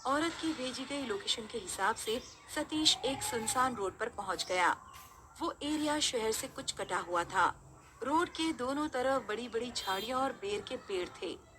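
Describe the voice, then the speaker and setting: A woman's voice: on the high side, lively intonation, measured pace, noisy background. A woman narrating a scripted horror story.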